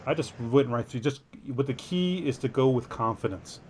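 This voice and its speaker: A man's voice: medium pitch, moderate pace, a little hiss or hum. A man co-hosting a podcast, reading a news story aloud and breaking off into jokey, self-deprecating tangents. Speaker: podcast co-host.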